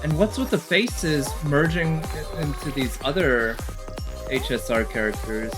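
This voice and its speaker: A woman's voice: sits low, unhurried. A woman streamer giving casual reaction commentary, speculating and thinking aloud as the thoughts come. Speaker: streamer reacting to a game trailer. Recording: music behind the voice. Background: game trailer music.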